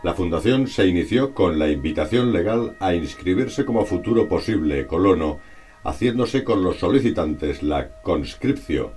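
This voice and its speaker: Very deep-voiced man narrating history. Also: animated, normal speed, a little mellow. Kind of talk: scripted historical narration, read aloud. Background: music.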